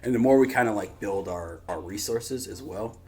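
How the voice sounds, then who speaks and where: A man's voice: on the low side, normal speed, crowd noise. A man hosting a YouTube reaction channel, talking casually in an informal, rambling, slangy way.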